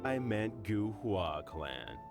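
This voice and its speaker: A man playing a mocking, loudmouthed thug in a video game, drawling with gravelly sarcasm. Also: on the low side, sing-song, slow, music in the background, full character voice. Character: sneering, loudmouthed male thug errand boy, mocking bully.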